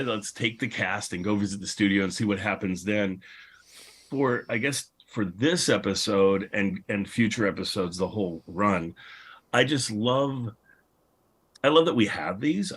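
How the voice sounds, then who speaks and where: A man's voice: lowish voice, a bit bright, slightly rough. A man speaking as a podcast host in an unscripted panel discussion, rambling and enthusiastic as he repeats himself.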